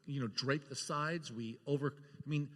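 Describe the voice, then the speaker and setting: A man's voice: mid-range voice, fairly even intonation, moderate pace, a bit bright. A man telling casual production stories on a panel, acting out the dialogue as he goes. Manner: acts out dialogue within his stories. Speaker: guest film-maker sharing production memories. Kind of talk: casual anecdotal storytelling. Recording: echoey hall with long reverb.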